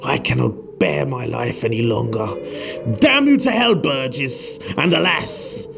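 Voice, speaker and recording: Man acting a slow, despairing, bitter professor. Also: mid-range voice, animated, vocal fry, over music. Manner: anguished, theatrical, formal.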